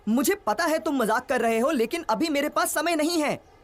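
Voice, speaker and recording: A woman's voice: sits high, normal speed, natural voice. A woman voicing an earnest young inventor boy in a dubbed cartoon, with an eager, explaining manner. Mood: hesitant, concerned.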